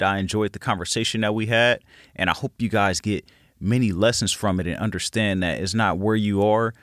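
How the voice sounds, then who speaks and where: A man's voice: fairly low pitch, no room sound, clean studio sound. Earnest man hosting a motivational podcast. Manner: earnest, grateful, encouraging. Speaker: podcast host.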